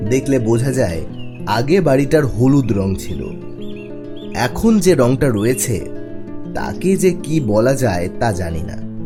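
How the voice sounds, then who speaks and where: Young government officer telling his cartoon ghost story; animated delivery, music underneath. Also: medium pitch. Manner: expressive, storytelling. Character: young unmarried government officer narrating his eerie experience. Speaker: narrator and lead character. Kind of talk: dramatic first-person horror story narration. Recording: music behind the voice.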